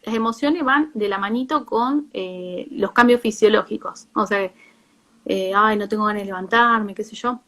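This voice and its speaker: A woman psychologist with an Argentine accent, explaining informally in a live talk with a halting, self-rephrasing delivery. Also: medium pitch, fairly bright tone. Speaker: psychologist, guest speaker.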